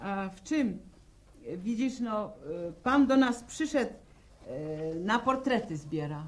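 A higher-voiced, nagging village mother. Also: expressive, slow. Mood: nagging banter. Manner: homely, bantering, folksy. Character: plain-spoken, nagging peasant widow and mother. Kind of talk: 1960s Polish radio serial acting.

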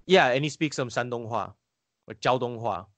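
A man telling family stories casually as a podcast guest, halting and rambling, saying "like" often. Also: medium pitch, dry recording. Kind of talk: casual conversational storytelling.

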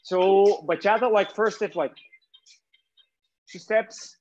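A young man from Kazakhstan hosts a casual cooking and dance chat from his home kitchen on a video call. He talks haltingly, with many fillers and restarts. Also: high-pitched, darkish tone. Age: young adult. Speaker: host. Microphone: laptop webcam on a video call. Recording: muffled video call audio.

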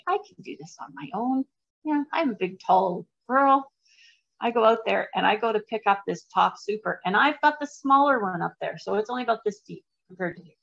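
Older woman presenter, gravelly voice, chatty and anecdotal, dull video-call audio. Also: mid-range voice. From Canada. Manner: chatty, anecdotal, with frequent fillers and asides. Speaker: beekeeper giving a presentation. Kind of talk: casual informal talk over slides. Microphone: video call webcam.

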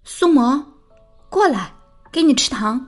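A woman's voice: sits high, expressive, slow pace, faint music behind, exaggerated voice. An actress playing a spirited, blunt but kind-hearted young woman in an audio drama, playfully scolding. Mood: teasing. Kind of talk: audio drama character acting.

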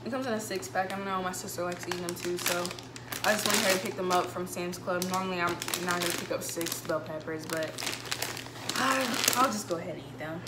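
A woman's voice: fairly low pitch, brisk. A young woman chatting informally to camera in a casual vlog, in her small home kitchen. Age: young adult. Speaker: college student vlogger. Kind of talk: casual vlog chat, showing groceries. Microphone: camera a couple of metres away. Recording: echoey room with background noise.

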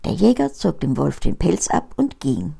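An elderly woman, reading a fairy tale aloud as an expressive grandmotherly storyteller. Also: lowish voice, normal speed, vocal fry, husky. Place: small book-filled living room. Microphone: lapel mic.

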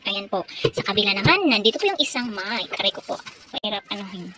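A woman's voice: medium pitch, very animated, fast. A Filipino woman giving a chatty, enthusiastic, casual product unboxing as a vlogger. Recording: home recording with background noise.

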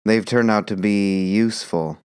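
Read by a woman, a jittery, uneasy man voiced deep and low, earnest and worried. Smooth tone, dry close recording. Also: steady tone, normal speed, a little mellow, no echo. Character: nervous, uneasy bespectacled man.

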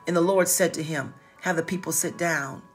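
Low-voiced woman pastor with a slight stutter. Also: normal speed, faint music behind. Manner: emphatic, repeats phrases. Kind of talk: informal livestreamed sermon. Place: small wood-paneled office.

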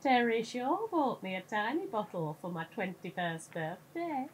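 Chatty british wife in a radio play, middle aged, really animated pitch. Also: female voice, measured pace, slight background noise. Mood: teasing. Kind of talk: naturalistic audio drama dialogue.

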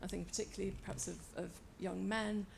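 A woman academic speaking from the audience of a panel event in an articulate, thoughtful, measured way. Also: mid-range voice, fairly even intonation, creaky, noisy background. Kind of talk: panel discussion remarks. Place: lecture hall, in the audience.

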